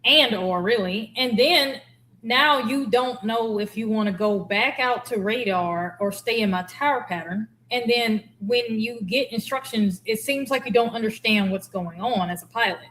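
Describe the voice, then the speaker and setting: A woman's voice: on the high side, normal speed, a bit bright, low-quality recording. A woman giving casual, candid explanatory commentary as a former air traffic controller.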